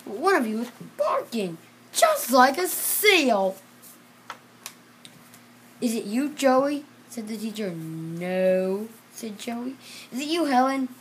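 A child reading a children's storybook aloud in a halting way, repeating and correcting words. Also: medium pitch, very animated, fairly bright tone, a little hiss or hum. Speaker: reader.

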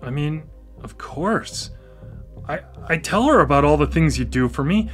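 A man's voice: fairly high pitch, animated, measured pace, soft background music. An actor playing a dependent adult brother in a naturalistic radio drama, hesitant and defensive, stammering into his sentences as he pushes for independence.

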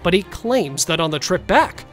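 Male narrator over soft music. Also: high voice, big pitch swings, normal speed. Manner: dramatic storytelling with rhetorical questions to the viewer. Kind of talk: scripted narration.

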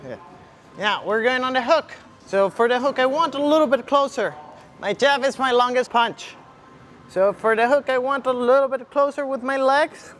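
The high-voiced young man you'd hear coaching striking drills on a gym floor, with some room noise around him. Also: normal speed, a bit bright. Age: young adult. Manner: demonstrating punches while talking. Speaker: kickboxing coach. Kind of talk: instructional demonstration with short cues. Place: large indoor MMA gym. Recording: clearly audible background ambience noise.

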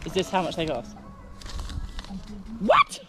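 A woman chatting casually with a friend outdoors on a ski slope, quick to sound incredulous. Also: on the low side, wildly swooping pitch, vocal fry, music in the background.

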